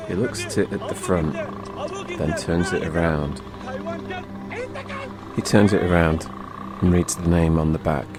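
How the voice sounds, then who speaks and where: A man with a very low voice narrates a personal travel story like an audio drama. He builds suspense in short, measured phrases. Clear music plays underneath him. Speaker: storyteller and podcast host.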